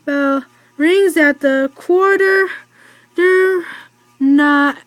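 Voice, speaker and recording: A woman's voice: high-pitched, very slow, dry recording. A young female reader voicing a cheerful male talking bird from a storybook, chanting silly rhymes in a sing-song way. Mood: playful sing-song.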